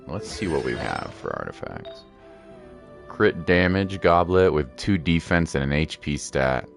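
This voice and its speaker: Very deep, gravelly man commentating casually. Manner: laid-back, musing aloud. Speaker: game streamer.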